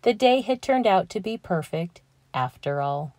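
A young adult woman reading a children's picture book aloud, gentle and clear, lifting her voice for the characters. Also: medium pitch, lively intonation, a little slow, dry recording. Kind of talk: read-aloud storytelling.

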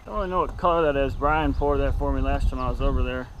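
A man's voice: mid-range voice, fast. A young man talking casually while fishing outdoors, filmed on an action camera. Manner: laid-back, chatty, swears when cold. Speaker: fishing vlogger. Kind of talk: casual vlog chat. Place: outdoors on a windy dock by a canal. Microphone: action camera, held or set nearby. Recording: wind noise on outdoor camera mic.

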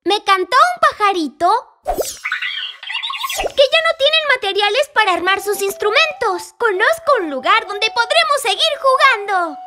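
A woman's voice: very high-pitched, sing-song, measured pace, heavily acted. A woman voicing a cheerful, curious little boy in a preschool cartoon, eager and full of exclamations. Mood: cheerful, inviting. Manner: bright-eyed, eager, exclamatory. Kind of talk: children's cartoon acting. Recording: children's music behind the voice.

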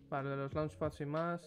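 A young man with a Spanish accent from Spain, giving blunt, informal live-stream commentary on a headset and answering chat questions. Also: on the high side. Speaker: streamer reviewing a player's matches.

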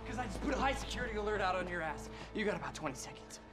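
A snarky agitated security operator, american, high voice, loud thriller music behind. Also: male voice, rapid-fire. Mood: smug. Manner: hesitant, sardonic, excitable. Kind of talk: live-action thriller drama acting. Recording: loud dramatic music, vehicle noise.

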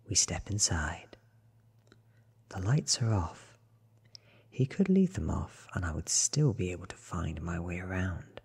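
A man in his thirties reads a story for ASMR, very close to the mic. His voice is low and extremely breathy, slipping between soft speech and whisper. The pace is slow and deliberate, with a crackle of fry. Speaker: ASMR book reader. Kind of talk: soft-spoken whispered ASMR audiobook reading. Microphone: close microphone in front of him.

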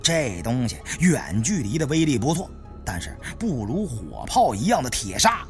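A man's voice: medium pitch, big pitch swings, normal speed. Male audiobook narrator, dramatic adventure thriller, suspenseful, extremely varied intonation, light music bed. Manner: theatrical, suspenseful.

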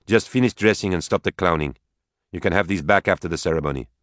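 A man's voice: deep voice, quick pace, no room sound, studio quality, lightly acted. A man voicing a stern mother who is a judge, restrained in manner, in an audiobook. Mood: cold, hard. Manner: cold, hard, controlled.

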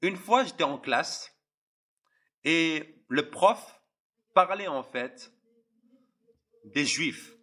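Impassioned young man preaching, husky and tense. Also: on the high side, lively intonation, extremely slow, pauses often, pushed and bright. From Algeria. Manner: impassioned, repetitive, addresses listeners directly. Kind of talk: preaching testimony.

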